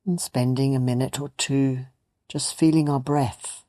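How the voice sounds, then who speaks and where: A meditation teacher gently leading a guided meditation in a soothing, unhurried way with long pauses. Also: mid-range voice, fairly even intonation. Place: meditation retreat.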